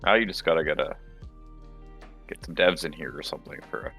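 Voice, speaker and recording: A man's voice: very deep voice, quick pace, creaky, bright, forceful tone, faint music behind. A man chiming in casually as a panelist on a gaming chat show.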